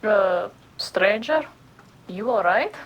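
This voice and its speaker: A woman's voice: medium pitch, lively intonation, takes it slowly, muffled recording, a little hiss or hum. A woman playing a friendly, concerned comrade in an audio drama, talking casually with a hesitant, caring manner.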